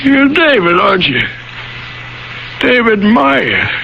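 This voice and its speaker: A lost, bewildered man in a radio play, believed possessed. His high voice is creaky and halting, repeating itself as if dazed. The old broadcast is dull, with some noise. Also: big pitch swings, bright, forceful tone, full character voice. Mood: dazed, flat. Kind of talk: old-fashioned radio drama acting. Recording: noisy, dull 1980 radio recording.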